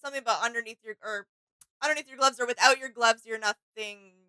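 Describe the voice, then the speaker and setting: A woman co-hosting a reaction video chimes in with short, agreeing replies. Her voice is high and bright, and the recording is dry. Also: steady tone, fast talker, smooth, no room sound. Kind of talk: casual reaction chat.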